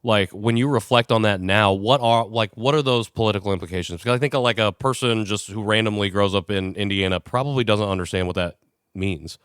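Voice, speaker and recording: A man's voice: lowish voice, a little fast, fairly bright tone, clear, resonant tone, no echo, clean studio sound. A man hosting a podcast interview, easygoing and affirming, asking questions in a casual chat. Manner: easygoing, frequent affirming "yeah" and "sure". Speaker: podcast host.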